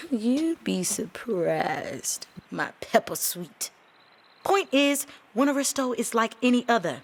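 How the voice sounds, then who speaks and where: A woman's voice: fairly high pitch, very animated, slow, exaggerated voice. An actress playing a brash, cheeky working-class woman mechanic in an audio drama, teasing and slangy.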